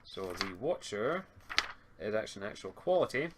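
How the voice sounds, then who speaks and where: A man's voice: on the low side, sing-song, normal speed. A man acting as game master in a casual online tabletop game, talking hesitantly and muttering as he checks things. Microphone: computer mic on an online voice call. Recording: noisy home computer mic.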